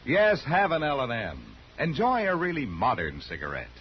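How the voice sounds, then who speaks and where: Very animated American male radio announcer. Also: moderate pace, over music. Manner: polished, emphatic sales pitch. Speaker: commercial announcer. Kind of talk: 1950s radio cigarette ad read. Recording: dull 1950s radio recording, faint noise.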